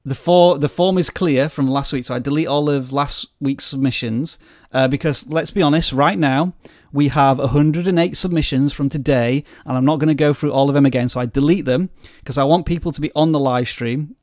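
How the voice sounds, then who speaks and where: A man's voice: mid-range voice, steady tone, brisk, a little mellow, dry recording, studio quality. A man hosting a live-stream Q&A, rambling and self-deprecating in a casual chat. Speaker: host.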